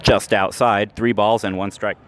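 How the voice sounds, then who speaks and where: A man's voice: on the low side, flat delivery, slight background noise. Man calling baseball play-by-play. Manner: measured, rises sharply on big plays. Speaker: play-by-play announcer. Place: ballpark, outdoors.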